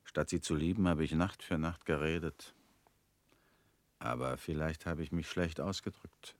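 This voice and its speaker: An actor playing a bitter former convict in a radio play, talking in a measured, brooding way. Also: male voice, a bass voice, no room sound.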